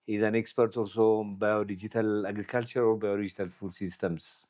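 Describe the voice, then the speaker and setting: A man's voice: fairly low pitch, flat delivery, brisk, a little mellow. A man hosting a podcast interview, encouraging his guest with frequent murmurs of agreement. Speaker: podcast host.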